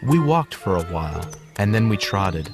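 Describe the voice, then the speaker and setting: A man calmly narrating a children's storybook. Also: low-pitched, normal speed. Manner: calm, clear reading. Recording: studio narration over music.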